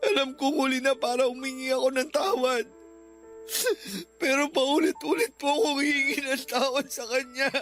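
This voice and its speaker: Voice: high voice, fairly bright tone. A performer playing a remorseful son in a radio drama, crying and pleading apologetically for forgiveness. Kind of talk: Filipino radio drama acting.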